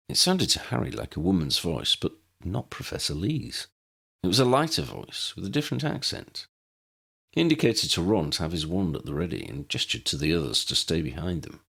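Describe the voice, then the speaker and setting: Male audiobook narrator, low and gravelly, hugely varied intonation, scripted fiction. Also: bright, forceful tone.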